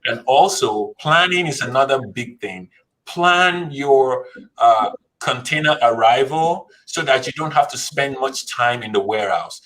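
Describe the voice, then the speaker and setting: A man's voice: mid-range voice, expressive, a little slow, a bit bright, poor audio. A man, a guest on an interview show, giving practical step-by-step advice on shipping in a casual way. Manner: practical, explains step by step, says "right?" often. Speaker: guest, logistics business founder.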